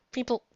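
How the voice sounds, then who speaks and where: A woman's voice: dry recording, muffled recording. A woman giving casual, unscripted fan fiction commentary, incredulous and exasperated, often stopping to rephrase and asking rhetorical questions.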